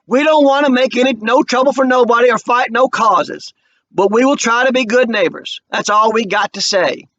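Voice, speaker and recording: A proud working-class father, choked up and groping for words, as a man reading a play aloud puts him on. The voice sits high and earnest, with a muffled, dry sound. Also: a bit bright, no echo. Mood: firm. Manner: halting, earnest, groping speech.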